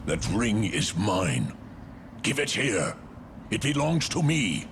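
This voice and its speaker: Very deep-voiced imperious old king. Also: sing-song, slow, background noise. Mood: demanding. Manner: imperious, formal. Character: stern, haughty older king and father. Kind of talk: dramatic fantasy game acting.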